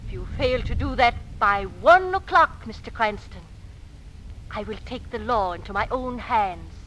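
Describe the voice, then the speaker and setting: Cutting, composed melodrama woman, deliberate theatrical delivery, faintly hissy old radio recording. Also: a little high, expressive, fairly bright tone, rough recording. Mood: angry, commanding. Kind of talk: old-time radio drama acting.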